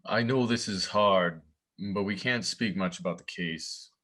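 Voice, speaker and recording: A man's voice: sits low, a little slow, bright, forceful tone, heavily acted. A man playing a polite, formal police officer in a table read of a drama script.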